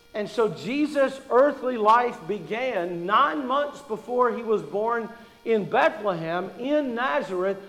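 A high-voiced man from Kentucky preaches, mixing folksy jokes into his teaching. His voice rings out with a long reverb, as in a big church sanctuary. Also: a bit bright. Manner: folksy, joking storyteller. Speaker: pastor. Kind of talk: sermon.

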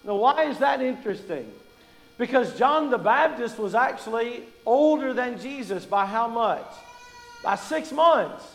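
High-voiced pastor from Kentucky, joking. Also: male voice, animated, unhurried, in a large hall, rough recording. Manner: folksy, joking storyteller. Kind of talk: sermon.

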